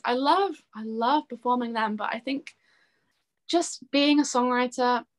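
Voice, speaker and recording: A woman in her twenties chatting casually in an interview, effusive and self-deprecating, with a giggly way of talking. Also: fairly high pitch. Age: 20s. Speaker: singer-songwriter being interviewed.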